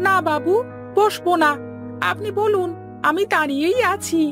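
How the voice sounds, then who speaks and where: A woman's voice: extremely high pitch, moderate pace. A woman voicing a chatty, eager village shopkeeper and matchmaker in a cartoon, with a teasing, obliging manner. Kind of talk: animated cartoon dialogue. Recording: loud background music.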